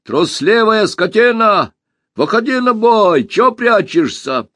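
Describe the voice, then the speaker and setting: A man voicing a boastful, hot-headed boy who shouts challenges. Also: high voice, big pitch swings, slow, dry recording, clean studio sound, pitched above the performer's own voice, exaggerated voice. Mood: defiant. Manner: loud, boastful and impulsive.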